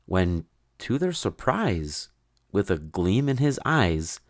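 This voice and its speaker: A man narrating a rhyming children's story in an expressive, theatrical way. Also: deep voice, takes it slowly. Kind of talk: dramatic rhyming children's story read-aloud.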